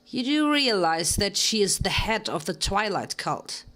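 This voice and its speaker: A woman's voice: mid-range voice, very animated, measured pace, a bit bright. A woman doing a nervous, formal mare warden. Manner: formal and uneasy.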